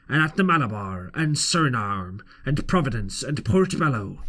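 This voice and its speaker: A man voicing a sly, folksy pirate cook who talks fondly in slow, rustic sailor speech for a storybook. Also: mid-range voice, fairly bright tone. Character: sly, folksy pirate sea-cook fond of his parrot.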